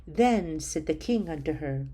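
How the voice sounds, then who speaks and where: A woman reading scripture aloud in a slow, measured, solemn way. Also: low voice, expressive, mellow. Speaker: scripture reader.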